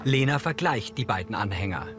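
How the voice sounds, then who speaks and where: A man's voice: fairly low pitch, animated, fairly quick. Male narrator describing scenes over music. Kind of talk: scripted narration, present-tense scene description.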